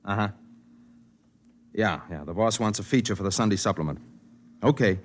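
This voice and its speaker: A wry newspaperman acted by a man with a deep voice, telling his story in terse, matter-of-fact phrases. It has the style of 1950s radio drama. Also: normal speed, close to an everyday voice. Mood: brisk, businesslike. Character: wry, hard-working male newspaper reporter narrating his story. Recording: vintage 1950s radio broadcast.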